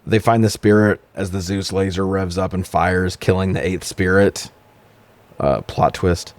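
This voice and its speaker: A man's voice: deep voice, steady tone, measured pace, no echo. An American man co-hosting a casual comedic movie recap, sarcastic and freely swearing. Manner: profane, sarcastic. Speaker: podcast co-host.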